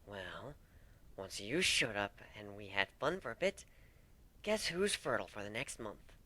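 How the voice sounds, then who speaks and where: A man voicing a tough but tender female griffin in a story, soft-spoken, teasing and affectionate. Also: fairly low pitch, lively intonation, measured pace, heavily acted.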